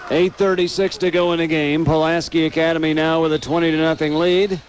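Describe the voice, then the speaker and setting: A man's voice: a little high, little pitch movement, a little fast. An American man doing live radio play-by-play commentary of a football game.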